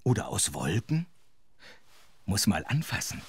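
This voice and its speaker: A man's voice: on the low side, very animated, unhurried, slightly rough, heavily acted. A man playing a chatty, wondering storyteller who narrates a children's audio drama and comments as he goes. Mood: amazed.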